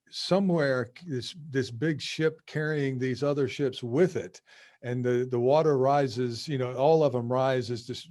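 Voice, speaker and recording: A man from the American South hosting an interview, asking long, rambling, animated questions. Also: medium pitch. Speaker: podcast host. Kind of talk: conversational interview.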